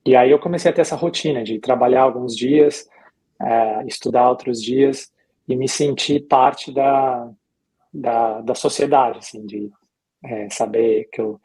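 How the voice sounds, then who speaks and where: A middle-aged man with a Brazilian Portuguese accent and a slightly rough, husky voice. He speaks at a middle pitch as an interview guest, telling his story casually and reflectively, often pausing to find the next phrase. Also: dark tone, poor audio. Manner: reflective, hesitant with pauses and repetitions.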